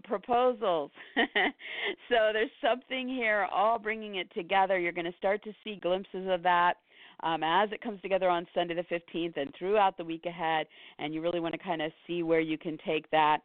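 A woman hosting a weekly horoscope show, hoarse from losing her voice, rattling off long lists with fillers and little laughs. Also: mid-range voice, no room sound. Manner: rapid listing, with fillers and short laughs. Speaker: astrologer host. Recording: dry tinny phone-like line.

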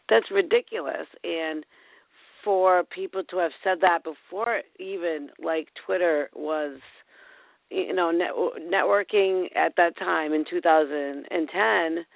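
A woman with a raspy voice calling in to a talk show, rambling excitedly. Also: lowish voice, no room sound. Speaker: caller. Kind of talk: casual call-in chat. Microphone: phone. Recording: tinny phone line, dry.

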